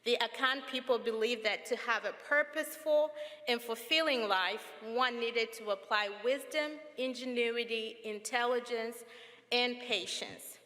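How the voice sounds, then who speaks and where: A woman from Zambia, a professor, giving an earnest, measured explanation at a formal ceremony. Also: a little high, fairly bright tone. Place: large auditorium. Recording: long hall reverb.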